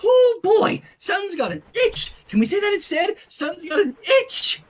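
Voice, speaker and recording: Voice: extremely high pitch, sing-song, unhurried, pitched above the performer's own voice, heavily acted. A performer voicing a panicky, exasperated young pony mare who exclaims and repeats words in alarm, in an amateur cartoon fanfiction reading. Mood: nervous coaxing.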